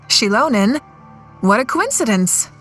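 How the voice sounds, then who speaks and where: A woman playing a composed, courteous young leader in a video game, speaking in a measured, poised way. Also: on the high side, very animated, a bit bright, soft background music, exaggerated voice. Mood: wry.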